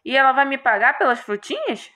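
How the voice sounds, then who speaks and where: A woman's voice: high-pitched, sing-song, fairly quick, pushed and bright, voice pushed up, exaggerated voice. Woman voicing a brash, irritable young hero. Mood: incredulous. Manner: exclamatory, exasperated, sarcastic.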